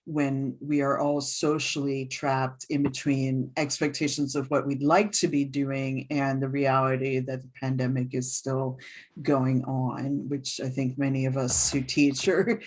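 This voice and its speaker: A woman's voice: extremely low pitch, unhurried. Woman in her fifties, from california, laid-back chatty author talk on a video call, lots of ums. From Oakland, California. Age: 50s. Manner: relaxed, chatty, with frequent fillers and small laughs. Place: small room at home.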